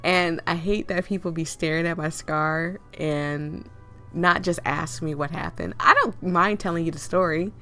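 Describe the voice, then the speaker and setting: A woman with a cold and a hoarse, fading voice, reading a visual novel aloud with chatty asides. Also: on the low side, unhurried, a bit bright, soft background music. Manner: chatty, laughs and coughs often, rambling asides. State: hoarse, losing her voice with a cold. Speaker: game reader and streamer.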